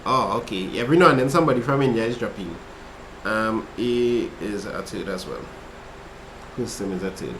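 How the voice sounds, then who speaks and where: Man, Trinidadian accent, relaxed chatty maths tutor, roomy echo, faint background hum. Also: lowish voice, normal speed. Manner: relaxed, chatty, reads chat aloud while working problems. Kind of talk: casual livestream study session.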